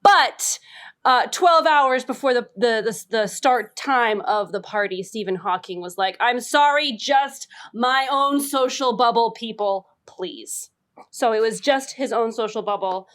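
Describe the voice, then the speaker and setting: A woman's voice: on the high side, measured pace, fairly bright tone. A woman improvising a comedic answer on a game podcast, giggly and playful, fumbling words. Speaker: podcast contestant.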